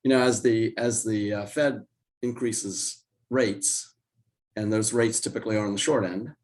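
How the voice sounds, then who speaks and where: An older man with a hoarse, raspy voice while recovering from illness, explaining market charts as a guest on a video call. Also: fairly even intonation, a little mellow. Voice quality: raspy, deeper than usual. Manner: measured, explanatory, with restarts. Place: home office indoors.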